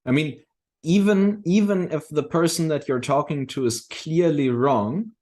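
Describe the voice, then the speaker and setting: A man in a casual discussion, speaking reflectively and haltingly, often restarting his phrases as he works through a thought. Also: fairly high pitch, darkish tone.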